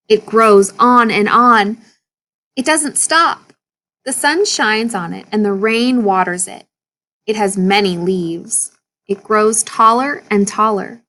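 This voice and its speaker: A woman with a fairly high voice reads a picture book aloud. She takes her time, going very slowly through short sentences. Her delivery is expressive storytelling aimed at young children. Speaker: story reader.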